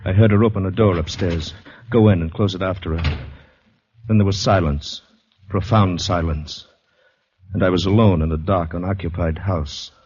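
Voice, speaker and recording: An actor playing an anxious, shell-shocked Canadian soldier telling his story haltingly in a vintage radio suspense drama, on a dull recording. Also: sits low, steady tone, a little mellow, breathy edge. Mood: haunted, fearful. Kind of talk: 1940s radio drama acting, first-person suspense narration. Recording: dull vintage radio, faint music behind.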